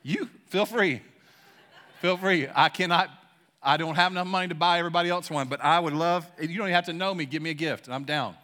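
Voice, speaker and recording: A young man preaching a sermon in a church, casual and excited, using slangy talk and leading a prayer. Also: a little high, brisk. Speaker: pastor. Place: church sanctuary.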